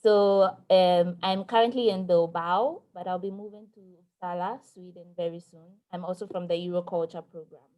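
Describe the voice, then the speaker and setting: Mellow-voiced woman from Ghana. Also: fairly low pitch, steady tone, normal speed, few pauses, low-quality recording. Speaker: student. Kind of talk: self-introduction in an online seminar. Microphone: video call.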